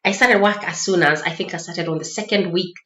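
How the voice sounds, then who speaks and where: Low-pitched Kenyan woman in an echoey room. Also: a little fast, bright, forceful tone. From Mombasa, Kenya. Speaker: vlogger sharing personal experiences. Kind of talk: casual personal storytelling. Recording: roomy echo, dull lo-fi sound.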